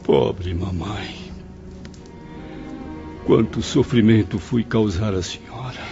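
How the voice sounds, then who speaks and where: Anguished, remorseful son, slow and creaky. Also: male voice, fairly low pitch. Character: remorseful grieving son, a suicide in the spirit world. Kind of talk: radio drama acting. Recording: dull radio drama recording under music.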